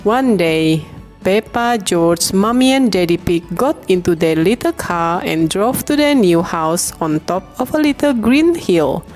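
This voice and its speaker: Female storybook narrator, unhurried and animated, medium pitch, soft music bed behind. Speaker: storybook reader. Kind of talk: slow children's bedtime storybook narration.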